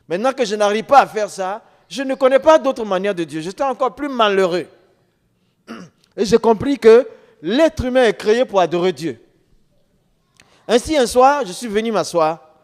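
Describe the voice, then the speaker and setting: A middle-aged man giving an emphatic testimony as a preacher, repeating words for stress. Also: high-pitched. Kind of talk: sermon testimony. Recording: long reverb of a church hall.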